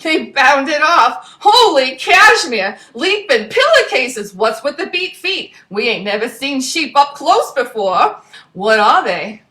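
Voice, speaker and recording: A woman narrating as a brash young male pigeon adventurer, slangy and energetic, in a storybook reading. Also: high-pitched, takes it slowly, voice pushed up, exaggerated voice. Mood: amazed.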